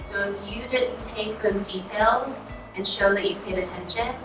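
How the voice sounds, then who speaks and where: American woman, practical explainer, workshop participant, roomy echo, distant mic, clear background music. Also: mid-range voice, normal speed. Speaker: car dealership employee, workshop participant. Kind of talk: explaining work practice in a group discussion.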